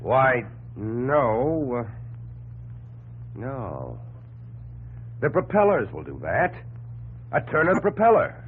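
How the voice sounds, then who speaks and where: A man's voice: medium pitch, very animated, slow pace, pauses often, breathy edge, heavily acted. An American actor playing a wry, droll male inventor and brother in 1950s radio drama style. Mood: considering. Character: Wilbur Wright, wry, dryly humorous older brother and inventor. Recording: muffled, noisy old radio broadcast recording.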